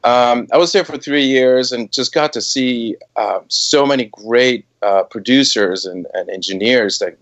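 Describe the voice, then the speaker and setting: A man's voice: medium pitch, unhurried, few pauses, a bit bright, dull audio. A middle-aged man, a music producer, telling his career story in a casual podcast interview, hesitant with many fillers. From Switzerland.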